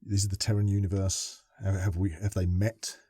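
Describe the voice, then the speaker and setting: A man's voice: low-pitched, level tone, moderate pace, mellow, husky, no echo, studio quality. A man hosting a casual podcast interview, asking long, winding questions with many restarts. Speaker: podcast host.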